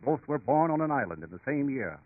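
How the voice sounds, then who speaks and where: A man's voice: mid-range voice, sing-song, brisk, no echo, close to an everyday voice. A man performing as a portentous, dramatic storyteller, narrating in scripted 1930s radio style. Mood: emphatic. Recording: tinny 1930s radio recording.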